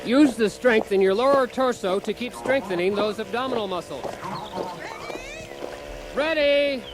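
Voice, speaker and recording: A man's voice: very high voice, a little slow. An actor playing a cheerful, accident-prone handyman husband who hosts a spoof do-it-yourself radio show, narrating like a mock-earnest instructor. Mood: straining, alarmed. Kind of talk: scripted comic instructional narration in radio theater. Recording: clearly noisy, busy effects behind.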